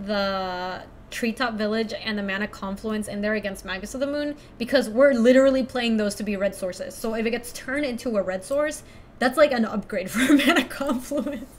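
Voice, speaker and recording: A young woman from Ecuador chatting casually through a card game stream, thinking out loud and laughing often. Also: fairly high pitch, moderate pace, a little hiss or hum. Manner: chatty, giggly, thinks aloud to chat. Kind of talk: casual live-stream commentary.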